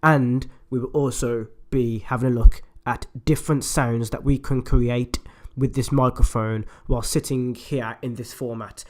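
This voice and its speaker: Raspy-voiced man, hoarse with flu. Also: medium pitch. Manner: chatty, upbeat, direct to viewers. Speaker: YouTube channel host. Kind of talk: casual product review and unboxing. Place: at home, indoors. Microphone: USB desktop microphone.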